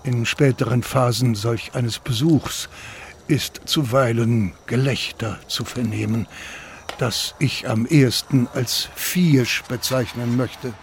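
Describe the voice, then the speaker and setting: An actor playing a fussy Parisian landlord who reports as an informant in a radio play, formal, stilted and prim. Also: male voice, mid-range voice, fairly even intonation, slow, a little hiss or hum. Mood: prim, disapproving. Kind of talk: radio play monologue, formal written report read aloud.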